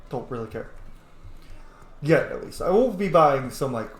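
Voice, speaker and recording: A man casually commenting while playing a game, jokey and excitable. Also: medium pitch, a little slow, echoey room. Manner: excitable, jokey, bursts of shouting. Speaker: let's-play host.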